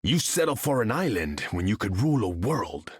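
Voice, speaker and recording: A brooding game hero with a very low, husky voice. The delivery is terse and grave, with dramatic movement in pitch and a dry, close sound. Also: male voice, sing-song, moderate pace, dry recording. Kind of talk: video game character voice lines.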